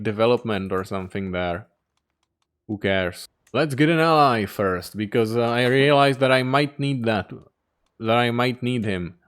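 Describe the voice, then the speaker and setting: A man narrating his strategy game playthrough, his smooth, resonant voice cutting in and out of exasperated outbursts. He swears freely as he plays, keeping up a running commentary on every setback. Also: on the low side, a bit bright. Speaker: gaming YouTuber.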